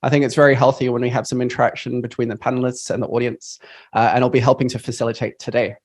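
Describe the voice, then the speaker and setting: A man's voice: mid-range voice, level tone, fast talker. A man hosting an online panel on a video call, upbeat and friendly, with frequent fillers. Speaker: panel moderator.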